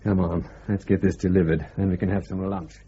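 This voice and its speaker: Husky, dark-voiced British intelligence officer. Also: male voice, low voice, little pitch movement, fairly quick, lo-fi sound. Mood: businesslike. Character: brisk, dry male intelligence officer, second-in-command. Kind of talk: radio drama acting.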